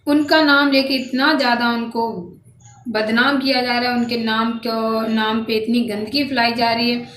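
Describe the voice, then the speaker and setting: A woman's voice: on the high side, little pitch movement, moderate pace, a bit bright. A woman giving informal gossip commentary to her viewers, speaking in a repetitive, emphatic and disapproving way. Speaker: social media commentator. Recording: roomy echo, distant mic, background noise.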